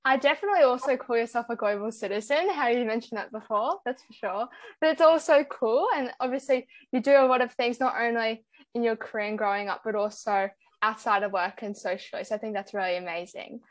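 A woman's voice: a little high, a little fast, no echo. A woman hosting a podcast, introducing her guest and asking questions in an upbeat, enthusiastic way. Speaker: podcast host. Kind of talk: scripted-feeling podcast hosting.

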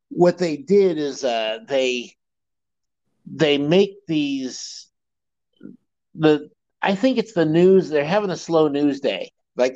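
A man's voice: on the high side, darkish tone, no echo, lo-fi sound. A man co-hosting a podcast in casual chat, rambling with many fillers and laughing often. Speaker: podcast co-host.

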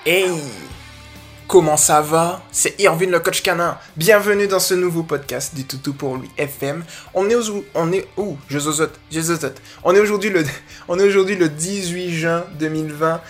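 A man's voice: a little high, moderate pace. A man talks off the cuff as a podcast host, over a light bed of guitar music. His delivery is informal and playful, full of slang and stray English interjections. It feels like unscripted advice given straight to one listener. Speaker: podcast host and dog trainer. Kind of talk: casual improvised podcast, chatty advice to a listener.

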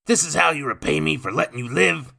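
A man voicing a gruff menacing street thug. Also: on the low side, very animated, normal speed, bright, forceful tone, voice pushed up, heavily acted. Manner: gruff, ungrammatical street talk. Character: menacing male street criminal stepfather. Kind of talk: audiobook character voice.

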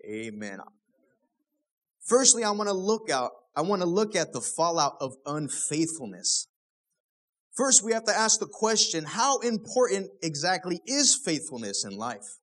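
A man's voice: high-pitched, sing-song, a little slow, a bit bright. A young man, a pastor, preaching a sermon in a casual, conversational way, full of rhetorical questions.

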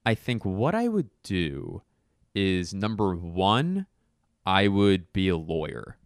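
A young man talks in a deep, smooth, resonant voice, recorded close and dry. He rambles through a casual chat with quick asides and restarts. His tone is self-mocking yet sure of himself. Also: huge pitch swings, dry recording.

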